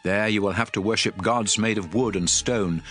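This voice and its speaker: A man's voice: fairly low pitch, moderate pace, fairly bright tone, husky. A man playing a stern, aged prophet exhorting his people in a solemn, emphatic dramatized scripture reading. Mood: stern warning. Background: music.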